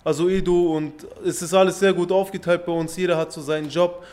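A man's voice: high-pitched, steady tone, brisk, darkish tone. A man, a rapper, talking informally about his work in an interview.

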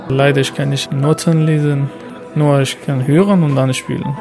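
Man, gravelly flat voice. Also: medium pitch, music in the background. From Iran. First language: not German. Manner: simple, halting learner's phrasing with pauses.

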